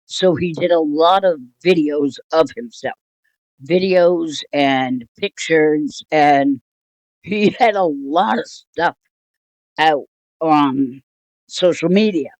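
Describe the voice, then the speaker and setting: An older woman telling a personal story casually, in a rambling, halting, wryly amused way. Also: low-pitched, a little mellow, no room sound, poor audio. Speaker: storytelling vlogger.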